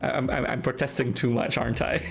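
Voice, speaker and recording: Singaporean guy streamer, gravelly voice, chatty and teasing, faint music behind. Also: medium pitch. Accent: Singaporean English. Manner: chatty, teasing, laughs often, reads chat aloud. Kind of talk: casual live-stream chat while drawing.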